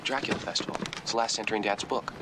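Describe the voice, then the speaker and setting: A young actor playing an eager, impatient amateur detective in a 1970s TV drama. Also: male voice, on the low side, fairly even intonation, heavy background noise, natural voice. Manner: eager and quick.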